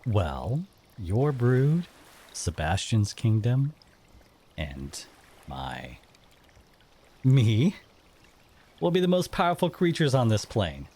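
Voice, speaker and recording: A man's voice: mid-range voice, wildly swooping pitch, takes it slowly, pauses often, darkish tone, breathy edge, heavily acted. A man playing a breezy, ambitious monster envoy in an audio drama, playful and showy in his theatrical delivery. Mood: sly, grand.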